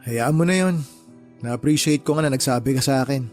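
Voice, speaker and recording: A man's voice: medium pitch, fairly quick, a little mellow, soft background music, natural voice. A man acting in a radio drama as a caring but easily exasperated uncle, speaking emphatically and with concern. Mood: reassuring.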